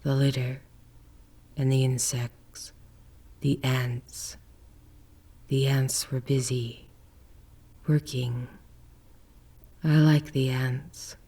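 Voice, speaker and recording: A man voicing a bewildered, childlike strange man in a horror story, speaking softly and haltingly in dreamy fragments. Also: mid-range voice, flat delivery, breathy edge, heavily acted. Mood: dreamy, dazed. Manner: slow, halting, dreamy, rambling in short fragments. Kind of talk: dramatic horror story reading.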